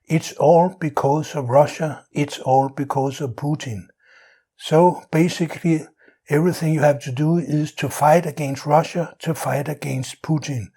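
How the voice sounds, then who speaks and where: Slow-paced Danish man giving political commentary. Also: on the high side, steady tone, mellow, slightly rough. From Denmark. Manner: halting delivery with non-native English phrasing. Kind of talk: opinionated political monologue.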